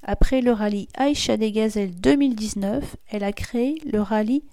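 A woman reading a scripted podcast introduction in a measured, formal way. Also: mid-range voice, mellow, dry recording. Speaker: show announcer.

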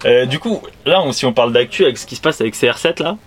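A man's voice: mid-range voice, lively intonation, fast talker. A man hosting a live current-affairs show, chatting in a casual, slangy interview style. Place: live stream from a van in central Paris. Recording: noisy city street ambience.

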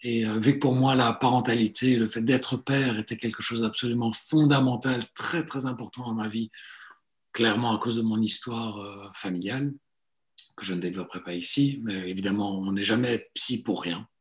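A man in his forties, a psychotherapist and coach, telling his life story in a relaxed interview, hesitant with many fillers and restarts. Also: medium pitch, moderate pace. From Italy. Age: 40s.

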